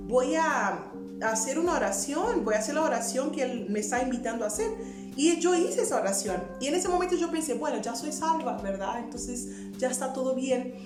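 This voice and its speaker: Woman in her twenties, from Portugal, conversational testimony, music playing behind. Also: on the high side. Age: 20s. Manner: earnest, conversational, frequent rhetorical "verdad". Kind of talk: personal testimony to camera. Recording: roomy echo with music underneath.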